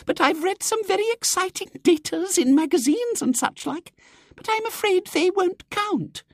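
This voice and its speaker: A woman voicing a timid, excitable little spinster lady with a squeaky, breathless voice. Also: moderate pace, dry recording, clean studio sound.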